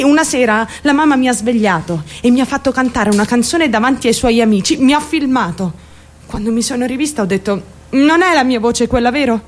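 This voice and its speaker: High-voiced, troubled young woman confiding. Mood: wistful, self-deprecating. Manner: confiding, addresses listeners directly with rhetorical questions. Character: troubled young woman, defensive, candid, rambling about her mother. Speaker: actor. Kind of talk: theatrical monologue in a radio play.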